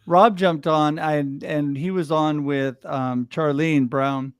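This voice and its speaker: Upbeat higher-voiced male host. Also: fairly even intonation, over a noisy crowd. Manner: enthusiastic storyteller, quick laughs. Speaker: livestream host. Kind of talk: casual chat.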